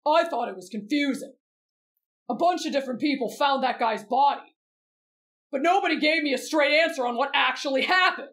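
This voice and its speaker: A woman's voice: high voice, brisk, a bit bright. A woman voicing a brash, blunt, mischievous teenage boy in an anime fan roleplay. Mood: blunt, puzzled. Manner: blunt, cocky, teasing.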